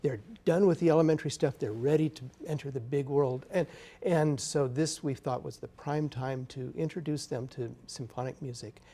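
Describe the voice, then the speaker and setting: A man's voice: mid-range voice, normal speed, warm tone. A man speaking as an interview guest, an orchestra conductor explaining things enthusiastically in a TV studio. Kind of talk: live TV interview talk.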